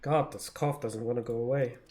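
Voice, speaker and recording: A man's voice: mid-range voice, steady tone, brisk, darkish tone. A man doing casual live game commentary as a streamer, muttering half to himself at a desk microphone.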